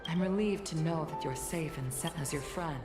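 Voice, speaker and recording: A dignified mature woman played by an actress, low in pitch, speaks formally as a former pilot in a game story. Loud music plays behind her roomy sound. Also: moderate pace, natural voice. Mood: courteous, measured. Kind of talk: video game story dialogue.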